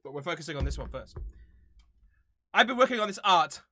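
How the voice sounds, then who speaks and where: A man's voice: fairly high pitch, sing-song, a little fast, bright, forceful tone, slight background noise. A man of about thirty chatting casually to viewers as a channel host, in a playful, theatrically mock-serious way. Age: around 30.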